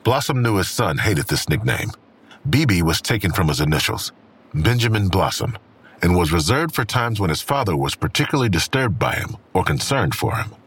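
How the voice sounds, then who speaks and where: A man's voice: sits low, sing-song, moderate pace, a bit bright, slightly rough. A man reading scripted dramatic narration for an audio drama.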